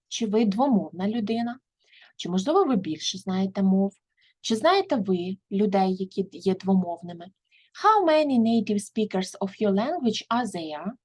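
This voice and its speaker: Husky woman teaching English. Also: mid-range voice, a little mellow. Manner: reads each English word, then its translation. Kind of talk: bilingual lesson explanation.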